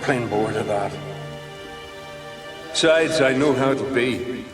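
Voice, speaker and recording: A man's voice: mid-range voice, over music, lightly acted. An actor playing the weary, jaded ghost of a doomed male warrior in a video game, speaking in a sardonic way. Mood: weary, bored. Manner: slow, world-weary, sardonic. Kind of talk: video game cutscene acting.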